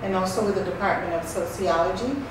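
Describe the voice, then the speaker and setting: A woman's voice: on the low side, quick pace, recorded from a distance. A woman, a professor of medicine, speaks passionately and articulately in an interview. She sounds set back from the microphone in a very echoey space, with faint background noise. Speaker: associate professor of preventive medicine. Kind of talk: interview answers.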